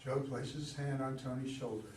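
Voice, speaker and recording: A man's voice: medium pitch, steady tone, moderate pace. A man reading stage directions as narrator at a staged play reading. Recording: echoey room, far from mic, background noise.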